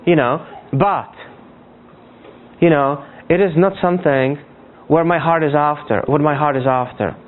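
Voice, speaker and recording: A man from Africa preaching conversationally and earnestly, often repeating words haltingly and checking in with his listeners. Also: fairly high pitch. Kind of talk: conversational sermon. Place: speaking to a church congregation. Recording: tinny, phone-like narrow band.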